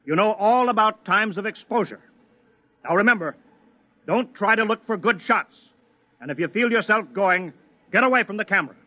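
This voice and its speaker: A lead scientist barks urgent orders in a high voice. The old broadcast sound is dull and lo-fi. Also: male voice, lively intonation, normal speed, pauses often, bright, forceful tone, no echo. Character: commanding male scientist leading an eclipse observation. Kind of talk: 1950s radio drama acting. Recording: dull lo-fi vintage radio broadcast.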